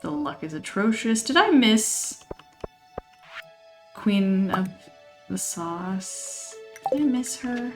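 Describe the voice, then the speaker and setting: A chatty woman, somewhat hoarse from losing her voice, rambling through casual gameplay commentary with frequent laughs. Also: mid-range voice, sing-song, slow, long pauses. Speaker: let's play host. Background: game music.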